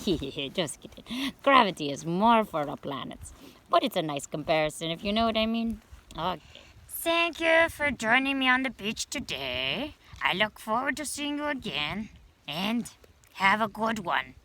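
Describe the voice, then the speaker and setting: A woman voicing a gentle, excitable shell-dwelling sea creature who teaches young children, repeating phrases and coaxing them with questions. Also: on the low side. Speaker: host of a children's educational segment. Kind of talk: children's science talk.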